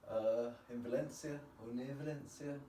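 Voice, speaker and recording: A man's voice: medium pitch, steady tone, moderate pace, dark tone, recorded from a distance, slight background noise. A man who grew up in Spain, talking in easygoing, thoughtful casual chat and laughing often. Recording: roomy, held away from the mic.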